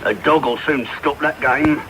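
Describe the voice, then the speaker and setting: A man playing a brutal, threatening London thief in a radio drama, with a gruff Cockney voice. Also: on the high side, measured pace, close to an everyday voice. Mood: menacing. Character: brutal, menacing adult male thief with a dog. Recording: very noisy off-air medium wave radio recording.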